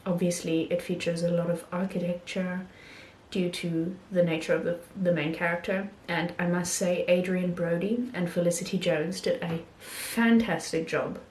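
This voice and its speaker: A woman talking casually about films she has watched, pausing often with ums and restarting her sentences. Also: on the low side, steady tone, a little mellow, roomy sound, a little hiss or hum. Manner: hesitant, many ums and restarts. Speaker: film vlogger. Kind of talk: casual film review talk.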